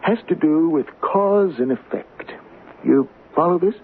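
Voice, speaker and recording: An actor playing a worldly war-hero colonel in an old radio drama, patient, assured and slightly patronizing. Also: male voice, a little high, unhurried. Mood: patient, instructive. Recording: phone-like, noisy old radio recording.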